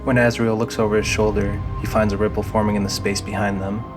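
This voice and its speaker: A man's voice: lowish voice, level tone, fast talker. A man reading scripted, dramatic narration for an audio drama. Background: music.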